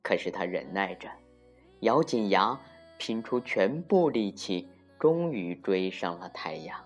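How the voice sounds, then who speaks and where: A woman narrating a folktale for children as an expressive storyteller, deliberate and with dramatic emphasis. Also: rumbling low. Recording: muffled lo-fi recording with music.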